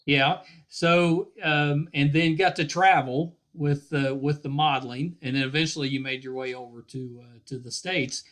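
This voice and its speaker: Male podcast host; relaxed, self-correcting questions, somewhat high-pitched. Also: normal speed, hardly any pauses. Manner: relaxed, repeats words as he restarts. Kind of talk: casual interview chat.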